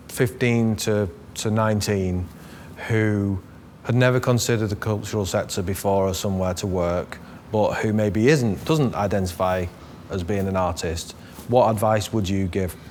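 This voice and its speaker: Low-voiced man asking interview questions. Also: a little hiss or hum. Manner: relaxed, searching for words. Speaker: interviewer, executive director. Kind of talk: interview questions, casual talk.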